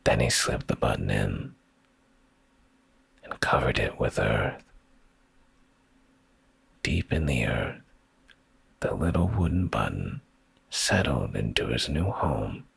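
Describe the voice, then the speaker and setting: Man, bottomless low pitch, thick gravelly creak, hushed breathy tone, intimate ASMR bedtime storyteller, soothing. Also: animated, slow, pauses often. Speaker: ASMR streamer reading bedtime stories.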